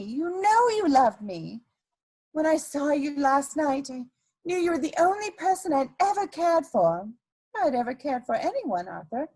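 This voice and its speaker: A woman's voice: sits high, mellow, low-quality recording. A woman playing a scheming, seductive society adventuress in a dramatic reading of a period comedy, with theatrical, coquettish emphasis. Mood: coaxing, romantic.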